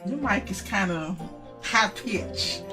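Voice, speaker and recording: A woman's voice: medium pitch, lively intonation, slow. A woman streaming a game, chatting casually and teasing someone off-mic between laughs. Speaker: streamer playing a courtroom game. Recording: game music faintly behind.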